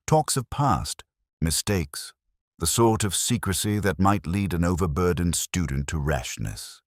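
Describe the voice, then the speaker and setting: A man narrating a scripted first-person detective story in a measured, brooding, literary manner. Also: low-pitched, dry recording, clean studio sound.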